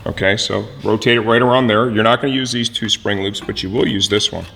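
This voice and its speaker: A man presenting a hands-on mechanical how-to, instructive and often checking in with a quick "okay". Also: on the low side, fairly even intonation, creaky, a bit bright, big-room sound, a little hiss or hum.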